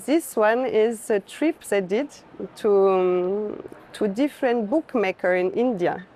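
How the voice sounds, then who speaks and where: A woman's voice: mid-range voice, slow, warm tone. A woman curator who is not a native English speaker, talking casually about art and often searching for words. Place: art fair, on the move. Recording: noisy busy fair ambience.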